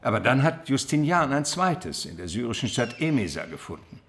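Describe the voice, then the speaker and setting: An actor playing a cultured, cautious Byzantine gentleman in a radio drama, measured and thoughtful. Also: medium pitch, big pitch swings, a little hiss or hum. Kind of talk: radio drama acting and narration.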